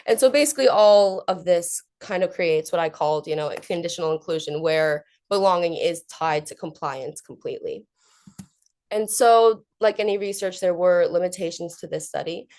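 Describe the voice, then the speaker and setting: A woman's voice: on the low side, moderate pace, darkish tone. A young woman student giving an academic conference presentation of research in an earnest, informal way. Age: young adult.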